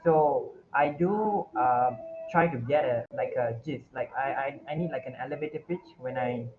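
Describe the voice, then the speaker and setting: A man's voice: mid-range voice, normal speed, phone-quality sound. A man who builds communities asks a presenter to explain his point, talking in an apologetic, searching way full of fillers over a video call. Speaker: community builder asking questions. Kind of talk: online Q&A. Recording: noisy online call audio.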